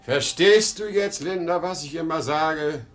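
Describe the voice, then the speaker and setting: An actor playing a boastful but insecure aging travelling salesman in a 1950s German radio drama, excitable and halting. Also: a little high, lightly acted. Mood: excited, admiring. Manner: excitable, halting, swinging between bluster and self-doubt. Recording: muffled 1950 radio play recording.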